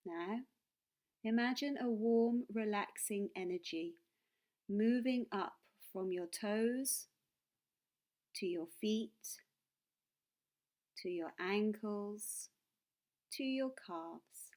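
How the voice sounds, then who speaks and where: A woman guides a meditation at a very slow pace. Her voice sits at a medium pitch and she sounds calm and soothing. She delivers short, deliberate phrases with pauses between them. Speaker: meditation and yoga teacher.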